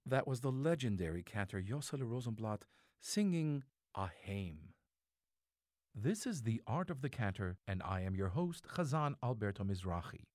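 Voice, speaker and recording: A man from Greece presents a scripted music program on radio. His voice sits low but moves through a lively range of pitch. The sound is close and dry, as a studio host would have it. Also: normal speed, dry recording.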